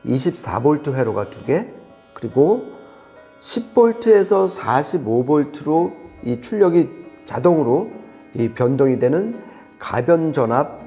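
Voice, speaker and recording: Man, mellow timbre, lively intonation, emphatic explainer, conversational audio reviewer. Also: on the high side, measured pace, faint music behind.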